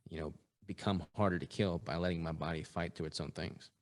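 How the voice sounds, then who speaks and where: A man with a low-pitched voice talks as a guest on a podcast. His delivery is conversational, in the manner of an informal interview. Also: fairly even intonation, fairly quick.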